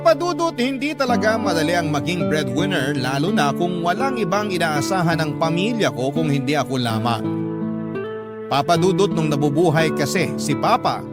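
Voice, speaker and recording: A young breadwinner telling a heartfelt story for radio drama. The voice is slightly high and earnest, with loud guitar music underneath. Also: male voice, big pitch swings, normal speed. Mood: earnest, heavy-hearted. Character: burdened young breadwinner reading a heartfelt confession letter. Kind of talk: scripted radio drama narration.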